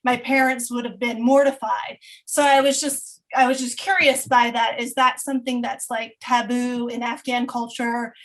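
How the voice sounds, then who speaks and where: A woman's voice: on the high side, level tone, moderate pace, few pauses, low-quality recording. A woman in a book club asking the author a question over a video call, hesitant, with many ums.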